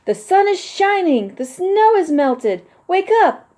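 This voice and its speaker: A woman voicing an eager, cheerful male frog who calls out high and loud to wake his friend. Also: sing-song, takes it slowly, heavily acted. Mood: eager, calling. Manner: high and shouting when calling out.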